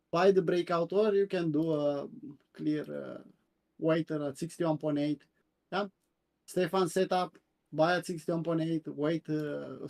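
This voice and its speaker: Romanian guy with a high voice going over crypto charts, lots of uhs, stops and restarts. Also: darkish tone. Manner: halting, many "uh" fillers and repeats, asks "yeah?". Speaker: co-host, crypto chart analyst. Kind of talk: casual live-stream chart review.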